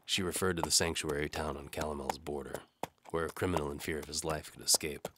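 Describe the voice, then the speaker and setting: A man narrating a first-person noir-style audio drama in a wry, deadpan manner. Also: extremely low pitch, fast talker, background noise.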